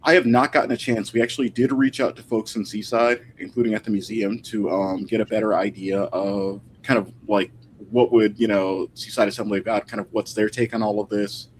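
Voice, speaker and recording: A man's voice: on the low side, steady tone, brisk. Informal male historian, some background noise. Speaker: historian, interview guest. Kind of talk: casual interview talk.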